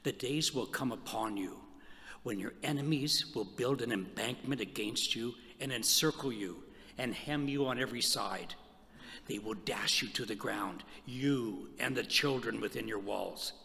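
A man performs a stern, grave holy teacher in scripture theatre. The voice is bright and forceful with some creak, emphatic in delivery. It rings through a long hall reverb over a PA. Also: medium pitch, unhurried. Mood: grave lament. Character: Jesus, grave man warning and rebuking. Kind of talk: church readers' theatre scripture reading. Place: church hall stage before seated audience. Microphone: stand microphone.

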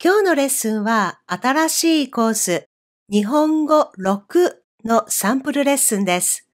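A woman teaching a Japanese course online, explaining clearly and slowing down on example phrases. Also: high voice, expressive. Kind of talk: scripted online lesson.

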